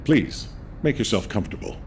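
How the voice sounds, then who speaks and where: A man playing a calm, authoritative military commander in a video game cutscene, measured and formal but quietly caring. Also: fairly low pitch, slight background noise. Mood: composed, courteous.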